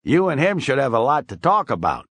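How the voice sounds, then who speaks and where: A man's voice: medium pitch, big pitch swings, fairly quick, fairly bright tone, husky, no room sound, pitched above the performer's own voice. A man voicing a drunk, boastful old male barfly with folksy, bawling talk. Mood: boozy.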